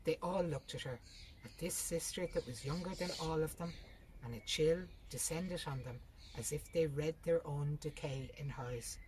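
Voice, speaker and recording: Female audiobook reader, low register, narrating literary prose, faint room noise. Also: normal speed, darkish tone, low-quality recording. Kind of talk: scripted literary narration with character dialogue.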